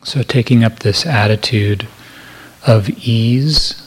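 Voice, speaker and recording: A man leading a guided meditation as a teacher, speaking in a gentle, measured manner with long pauses. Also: medium pitch, slightly rough.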